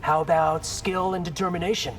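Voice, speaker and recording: An actor playing a confident, defiant young warrior in a video game, speaking in a direct, dry, cocky way. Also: male voice, a little high, flat delivery, fairly quick, natural voice. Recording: loud music over the voice.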